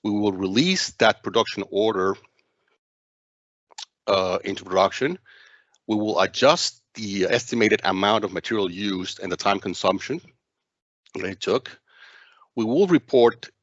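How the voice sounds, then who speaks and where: A man narrating a software demo walkthrough as its presenter, speaking in a halting, hesitant way with frequent stumbles. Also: lowish voice, no echo, dull audio.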